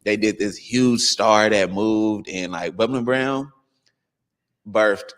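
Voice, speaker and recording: A man in his thirties, a dance coach, talks as a guest in an animated, storytelling way. His voice runs low, and a long hall-like reverb trails after it. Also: takes it slowly. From United States. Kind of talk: casual interview.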